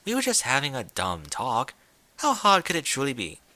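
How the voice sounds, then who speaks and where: Man voicing an elegant, lilting lady pony, higher-pitched. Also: huge pitch swings, normal speed, bright, forceful tone, no echo, heavily acted. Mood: edged, coaxing. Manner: genteel, formal diction with French flourishes. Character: refined, caring, theatrical female pony, gently teasing.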